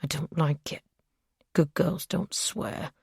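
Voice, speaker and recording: A woman voicing an obsessive male captor who speaks in soft, breathy whispers. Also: low-pitched, steady tone, slow pace, no echo, studio quality, full character voice. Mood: whispered menace.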